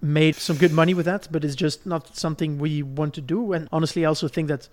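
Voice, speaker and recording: Guy from belgium, voice a bit on the high side, podcast host explaining stuff step by step, conversational. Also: steady tone, fast talker. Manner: didactic, step-by-step, checks in with "right?".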